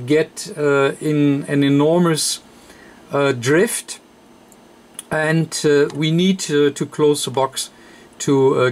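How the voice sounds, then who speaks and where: A man who speaks as a walks through a technical repair step by step. His voice sits at an average pitch and moves very slowly, with frequent hesitations and an unscripted, halting flow. A faint layer of noise sits behind him. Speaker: radio repair technician demonstrating. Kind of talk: unscripted hands-on repair tutorial.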